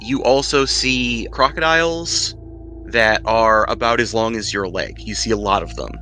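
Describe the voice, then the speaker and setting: A man narrating a tabletop roleplaying game as game master, improvising descriptions in a conversational, halting way. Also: lowish voice, bright, forceful tone. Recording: music playing behind the voice.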